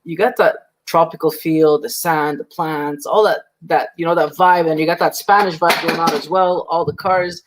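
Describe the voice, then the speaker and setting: A man's voice: on the high side, fairly even intonation, normal speed, hardly any pauses, a little hiss or hum, low-quality recording. A man in his thirties from Lebanon, a guest talking casually and easily, swearing now and then. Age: 30s. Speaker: guest, snake breeder. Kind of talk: casual chat.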